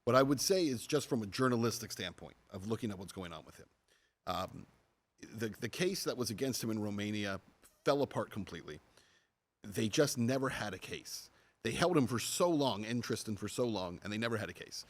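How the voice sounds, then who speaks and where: A man's voice: lowish voice, lively intonation, fairly quick. A middle-aged man hosting a podcast, making a journalist's case with emphasis and rhetorical questions. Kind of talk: conversational interview commentary.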